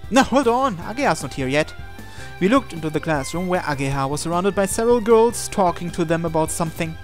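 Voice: medium pitch, animated, fairly quick. A person reading visual novel text aloud for a let's play, acting out the dialogue expressively. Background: game music.

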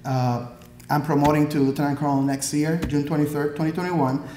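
A Puerto Rican-accented man in his thirties reads a prepared speech from the podium in a measured, earnest way, with a filler here and there. His voice sits a little high for a man. The hall around him rings with a clear echo. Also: little pitch movement, mellow, a little hiss or hum. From Puerto Rico. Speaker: Army pathologist, guest speaker. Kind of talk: prepared speech read from notes. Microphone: podium microphone. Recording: echoey hall.

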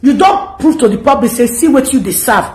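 A Nigerian woman in her thirties hosting a gossip commentary, talking to camera in an animated, scolding way. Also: sits high, brisk, reverberant. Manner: animated, scolding, mixes pidgin and English.

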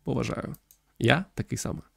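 A man in his thirties from Ukraine, thoughtfully and haltingly commenting on a visual novel as he livestreams on a close mic. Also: medium pitch, creaky, fairly bright tone, husky, dry recording. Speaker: game streamer. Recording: music behind the voice. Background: background game music.

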